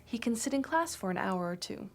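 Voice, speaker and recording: Lady acting as a friendly teacher, calm clear talk, scripted lesson vibe. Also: on the high side. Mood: reassuring. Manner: clear, reassuring, measured. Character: friendly female school teacher, middle-aged.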